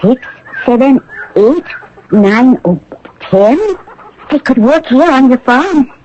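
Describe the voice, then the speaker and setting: An earnest young nurse in an old radio play, pleading slowly in a high, lilting voice. The recording is noisy, with farmyard chickens behind. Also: female voice, expressive, a little mellow, dull audio. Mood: gently persuasive. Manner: deliberate, pleading. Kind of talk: radio drama acting.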